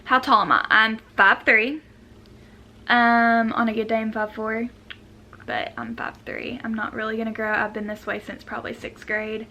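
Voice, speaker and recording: A woman's voice: on the high side, animated, moderate pace, sharp tone, a little hiss or hum. A woman, chatting casually and self-deprecatingly as she answers questions in a vlog at home. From Arkansas, United States. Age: 20s. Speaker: vlogger. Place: room at home.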